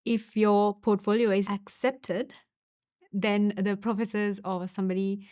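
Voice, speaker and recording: A woman's voice: medium pitch, level tone, warm tone, dry recording. Young Sri woman, dry-toned. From Sri Lanka. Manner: thoughtful, unhurried, pausing with fillers. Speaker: university student. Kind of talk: casual testimonial.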